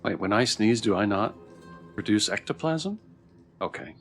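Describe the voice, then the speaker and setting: A man streams a game in a relaxed, chatty style. His voice is on the low side, and he reads options aloud between comments. Faint game music plays behind him. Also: normal speed. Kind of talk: casual livestream commentary, reading choices aloud.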